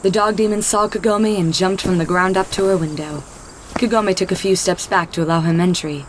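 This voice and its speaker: A woman's voice: lowish voice, fairly even intonation, fairly quick, a little hiss or hum. A woman narrator reading a scripted story in the third person.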